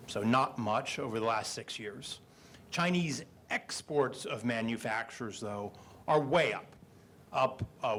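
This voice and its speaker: A man with a booming voice answering as a guest economist on a panel, speaking into a handheld microphone at an indoor event. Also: slight background noise. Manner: booming, measured, explanatory.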